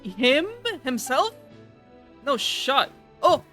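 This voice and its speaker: A woman's voice: high-pitched, animated, drawn-out words, a bit bright, faint music behind. Woman streamer reacting casually to a game. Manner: informal, exclamatory, slangy.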